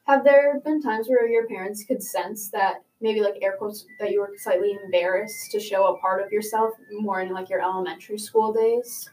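A woman's voice: mid-range voice, steady tone, moderate pace, hardly any pauses, darkish tone. A young woman hosting a podcast, asking hesitant, searching questions in a casual interview. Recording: roomy, distant, faint noise.

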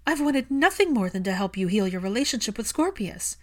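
An impassioned young woman from an audiobook, played by a female reader, pitched well up and forceful in delivery. She is fiercely loving, defiant and wounded, and leans hard on her words. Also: very animated, a little fast. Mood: angry, resolute. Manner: emphatic, impassioned.

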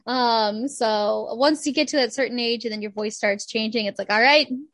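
A young woman co-hosting a casual podcast chat, animated, laughing often and acting out quotes. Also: on the high side, moderate pace, dry recording. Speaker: podcast co-host.

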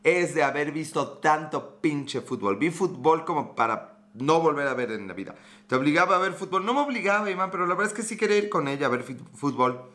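A man's voice: a little high, animated, brisk, a bit bright. Joking Mexican man on a livestream. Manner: joking, crude slang, laughs often. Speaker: livestream host. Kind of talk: casual livestream chat reading viewer comments.

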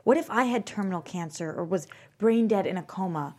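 Anguished, guilt-ridden wife, played by an actress. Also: female voice, medium pitch, expressive, a little fast, a little mellow, close to an everyday voice. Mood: pleading. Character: anguished, guilt-ridden wife caring for her sick friend. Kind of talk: dramatic script table read.

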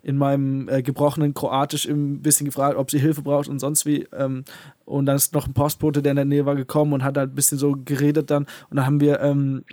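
A young man co-hosting a casual podcast, talking in a halting way with many fillers and restarts. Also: mid-range voice, monotone, mellow, no room sound, studio quality. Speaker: podcast co-host. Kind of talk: casual conversation. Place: living in a city abroad.

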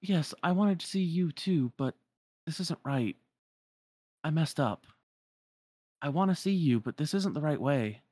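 A performer voicing a gentle, lonely young girl who speaks hesitantly and apologetically in a game story. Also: medium pitch, expressive, a little slow, pauses often, dry recording, pitched above the performer's own voice. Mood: regretful.

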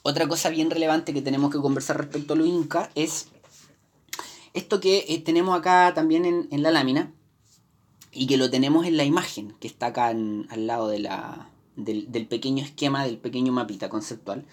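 Woman, Chilean accent, low pitch, live history lesson, halting explanatory delivery. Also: darkish tone. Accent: Chilean Spanish. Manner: explanatory, many fillers and restarts. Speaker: history teacher. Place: indoors, teaching from slides.

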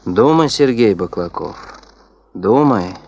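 Low, slow, pensive young geologist. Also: male voice, lively intonation, darkish tone, dull audio, a little hiss or hum. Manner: musing, self-addressed, unhurried. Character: earnest, pensive young male geologist thinking aloud. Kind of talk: radio drama interior monologue.

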